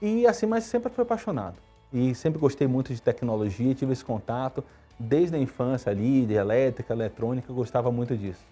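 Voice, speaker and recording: A man around fifty from Salvador, a guest telling his life story in a relaxed interview. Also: husky, faint music behind. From Brazil (Salvador, Bahia). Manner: quick, storytelling, lively pitch swings. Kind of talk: conversational interview answers.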